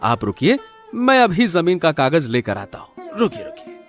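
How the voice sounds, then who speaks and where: A man acts a poor village farmer in a cartoon drama, high-voiced and swinging widely in pitch. He is trusting yet stubborn and argues in homely colloquial speech, over faint music. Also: normal speed. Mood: reassuring. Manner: animated, colloquial remonstrating.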